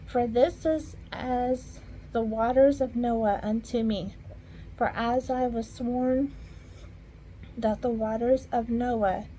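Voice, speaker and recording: A woman reading scripture aloud in an informal way, halting at hard words and talking softly to her viewers between verses. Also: fairly high pitch, a little hiss or hum, poor audio. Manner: slow, halting, stumbles over archaic words. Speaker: Bible reader.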